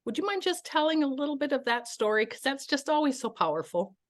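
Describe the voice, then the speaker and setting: A woman's voice: high-pitched, big pitch swings, quick pace. A woman hosting an interview on a video call, upbeat, chatty and encouraging toward her guests. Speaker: host.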